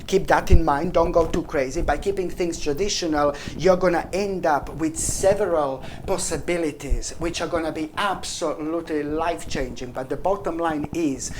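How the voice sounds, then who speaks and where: A woman's voice: sits low, normal speed, hardly any pauses, darkish tone, a little hiss or hum, poor audio. A woman giving a weekly tarot reading, effusive and repetitive, calling the listener "my gorgeous" and "baby". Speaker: tarot reader.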